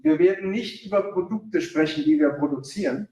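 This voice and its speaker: A high, lively male voice delivers a talk in short, friendly chunks and stops often for translation. He sounds far from the mic, and the hall behind him is very echoey. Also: normal speed. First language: German. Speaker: guest speaker, sales director. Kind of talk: lecture in German, paused for interpreter.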